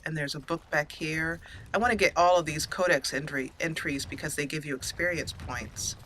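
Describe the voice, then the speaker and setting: Bright-voiced woman narrating a game playthrough. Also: low-pitched, steady tone, normal speed, a little hiss or hum, poor audio. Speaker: let's play host. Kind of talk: casual game roleplay commentary and storytelling.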